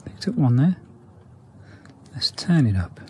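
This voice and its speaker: A man's voice: mid-range voice, big pitch swings, a little slow, slight background noise. A man giving a casual, hands-on product review in an understated, matter-of-fact and candid way.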